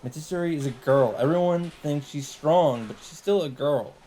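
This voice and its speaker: A man hosting a let's play, reading visual novel narration aloud in a measured, dramatic way with asides. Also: fairly high pitch, warm tone, a little hiss or hum, rough recording. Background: guitar game music.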